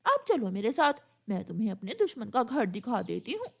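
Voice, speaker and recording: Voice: medium pitch, wildly swooping pitch, fairly quick, vocal fry, fairly bright tone, breathy edge, heavily acted. A woman voicing a vain, bossy, scheming female crow in a storytelling cartoon, theatrical and gloating. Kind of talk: Hindi storytelling cartoon voice acting.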